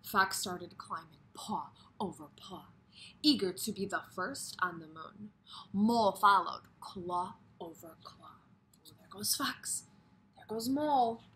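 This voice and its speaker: A woman's voice: high voice, bright, forceful tone. A woman kindergarten teacher reading a story aloud expressively and putting questions directly to young children.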